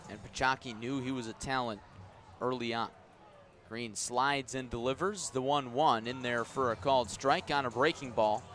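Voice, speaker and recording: A man calls a baseball game live for radio from an outdoor ballpark. His voice sits at an average pitch, and he delivers measured, detailed commentary that lifts as each pitch arrives. Music plays in the background. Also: a bit bright. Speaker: baseball play-by-play radio announcer.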